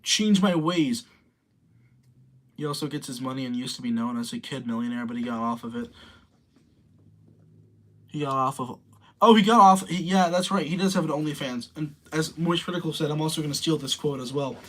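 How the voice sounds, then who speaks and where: A man's voice: a little high, fairly quick, pauses often, a bit bright. A young man in his late teens, casually rambling through commentary and reading viewer comments aloud. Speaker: streamer reading chat.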